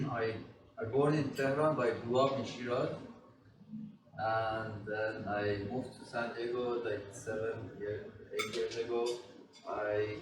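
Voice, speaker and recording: Iranian-born guy, slightly low voice, halting, mic far off in a roomy space. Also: background noise. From Iran. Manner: plain, halting self-introduction. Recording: echoey room from far off.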